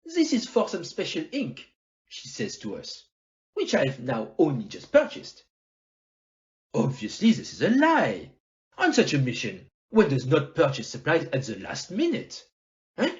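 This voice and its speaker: Theatrical, animated detective, played by an amateur actor. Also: mid-range voice, measured pace, dull audio. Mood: assured, didactic. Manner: theatrical, didactic, rhetorical "eh?" tags, French phrases. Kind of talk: amateur audio play detective acting.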